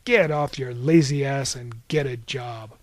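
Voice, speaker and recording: A man's voice: mid-range voice, measured pace, no room sound, close to an everyday voice. Man playing a roaring, exasperated sea god. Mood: exasperated, scolding. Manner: roaring, ranting reprimand. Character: angry sea god, scolding exasperated father of a monster.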